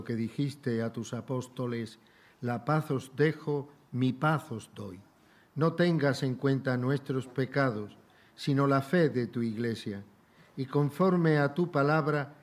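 Slow, solemn older male priest. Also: medium pitch. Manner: slow, measured, solemn recitation. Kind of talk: liturgical recitation at Mass. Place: large church sanctuary, indoors.

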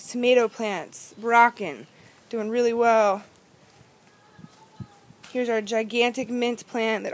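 An upbeat young woman with a fairly high voice walks through her backyard garden, chatting and pointing out plants. She records on a handheld phone outdoors, so noise and chickens clucking can be heard behind her. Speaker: vlogger giving a garden tour. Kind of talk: casual walking tour narration. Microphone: phone camera held in hand. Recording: outdoor phone audio with background noise, hand over mic at times.

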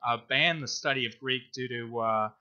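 A man with a strikingly bright, sharp voice of medium pitch talks through a book discussion as co-host. His delivery is halting, broken by many ums and uhs. The recording is a little lo-fi. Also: smooth. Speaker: podcast co-host.

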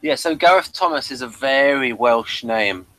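A man's voice: mid-range voice, animated, moderate pace, sharp tone, dry recording. A man hosting a casual episode review chat, talking in a hesitant, rambling way and addressing listeners. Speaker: host of a TV review discussion.

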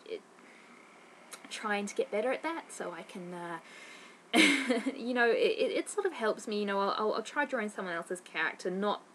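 A woman's voice: lowish voice, fairly bright tone, a little hiss or hum, low-quality recording. A young woman with a New Zealand accent, chatting casually to her webcam in her bedroom as she answers viewer questions, rambling with lots of ums and restarts. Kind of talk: casual chat. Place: bedroom, indoors. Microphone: webcam at desk.

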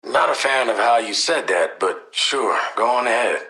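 A man's voice: mid-range voice, lively intonation, moderate pace, bright, forceful tone, roomy sound, poor audio. An actor playing a cynical, cocky assassin and protective father in a video game, with an American accent and a wry, slangy, streetwise way of talking. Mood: irritated. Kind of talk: video game character voice lines.

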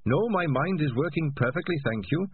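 A man voicing a small, earnest male rat in a storybook reading, calm, polite and thoughtful. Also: on the high side, moderate pace, pitched above the performer's own voice. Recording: tinny, lo-fi sound with faint noise.

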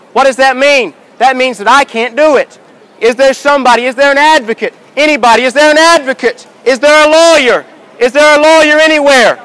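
A man in his thirties preaching outdoors in a busy city square, shouting with emphatic, repetitive rhetorical questions. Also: very high voice, clear, resonant tone, poor audio. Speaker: street preacher. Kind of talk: open-air sermon. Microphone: far handheld camera, no mic.

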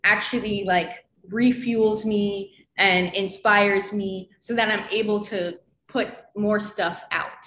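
A woman's voice: mid-range voice, steady tone, slow, fairly bright tone, slight background noise. A young woman speaking as an interview guest over a video call, fluent and casual with frequent "like.". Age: young adult. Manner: fluent, uses "like" often, gestures while talking. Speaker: guest, creative strategist. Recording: roomy video call audio.

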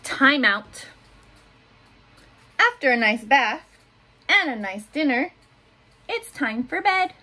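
A woman in her thirties reading a children's picture book aloud in simple, deliberate phrases, adding little asides for young listeners. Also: high voice, sing-song, bright, forceful tone. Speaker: school staff member reading aloud to pupils. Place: small home office room, indoors. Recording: noticeable room noise behind voice.

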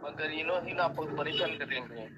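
A man talking on a phone call in a halting way, repeating his words. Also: on the high side, pushed and bright. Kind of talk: phone conversation. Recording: phone call with crowd noise behind.

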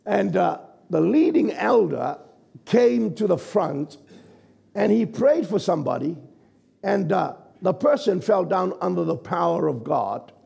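A man in his sixties, a pastor from New Zealand, preaching a sermon from a church stage with a croaky, gravelly voice and a conversational manner. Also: sits high, expressive, a little slow, warm tone. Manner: conversational preaching, checks in with the congregation. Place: church stage, large indoor hall. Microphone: stage mic at a lectern, far camera.

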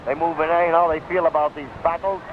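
Tinny high-voiced 1930s radio fight announcer, man. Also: level tone, quick pace. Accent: American. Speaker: radio boxing commentator. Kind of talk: 1930s live radio blow-by-blow commentary. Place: ringside at an outdoor stadium. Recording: tinny, noisy 1939 radio broadcast recording.

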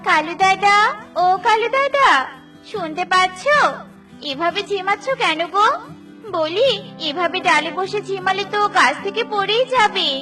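Cartoon bird, small and female, extremely high-voiced, chirpy, caring and inquisitive, over clear music. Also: animated, measured pace, sharp tone, exaggerated voice. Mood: chirpy, concerned. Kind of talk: Bengali children's cartoon dialogue. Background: children's music.

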